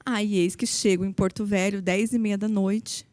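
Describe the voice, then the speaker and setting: A woman from Paraná in her thirties, a federal public defender and guest on an interview podcast, telling her story in a lively, animated way. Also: mid-range voice, moderate pace, no room sound. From Paraná, Brazil. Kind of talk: casual interview chat.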